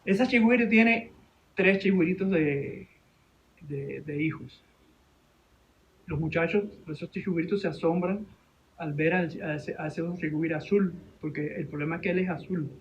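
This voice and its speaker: A man with a Venezuelan accent telling a story informally in an interview, halting and repeating his words. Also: on the high side, highs cut off, slight background noise. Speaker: interview guest, animation director and teacher.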